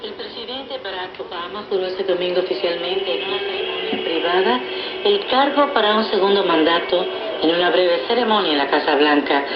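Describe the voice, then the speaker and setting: A woman reading the news in a brisk, formal manner, heard through a shortwave radio speaker. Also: lowish voice, lo-fi sound. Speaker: radio newsreader. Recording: shortwave broadcast through a speaker, loud static, roomy.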